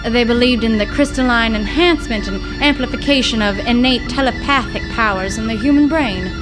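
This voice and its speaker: A woman's voice: a little high, brisk, natural voice. An actress playing a blunt, sarcastic young woman investigating her scientist father's lab, in old-time radio drama style. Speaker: scientist's daughter. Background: music.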